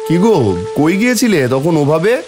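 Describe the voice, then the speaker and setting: A man voicing a poor, good-natured village husband and father in a cartoon, speaking in an expressive, homely way. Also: high-pitched, a little fast, over music. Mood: surprised, relieved. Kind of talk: cartoon voice acting.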